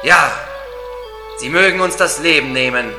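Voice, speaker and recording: A man's voice: fairly high pitch, normal speed, over music, lightly acted. A man playing a pompous, overearnest hero and comforter of comrades in a parody audio drama, talking theatrically. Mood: rousing, heroic. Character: pompous heroic speechmaker, earnest comforter of comrades.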